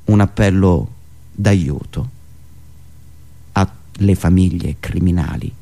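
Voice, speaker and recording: A man delivering a satirical political monologue in an indignant, sarcastic, declamatory manner with dramatic pauses and repetitions. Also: sits low, vocal fry, mellow. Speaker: satirical monologist and radio show host.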